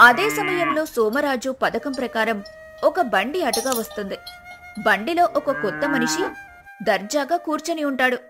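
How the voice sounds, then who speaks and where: High-voiced woman narrating a folk tale. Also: expressive, normal speed, over music. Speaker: story narrator.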